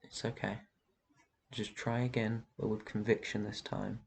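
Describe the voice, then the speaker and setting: An ancient vampire master, acted out by a man, with a low voice full of gravelly creak. He speaks in a gentle, protective and soothing way, as in an intimate roleplay ASMR. His delivery stays very even, with slight noise behind it. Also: measured pace, exaggerated voice. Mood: gentle, encouraging. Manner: soft shushing, reassuring, tender endearments. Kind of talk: roleplay ASMR, intimate one-sided dialogue.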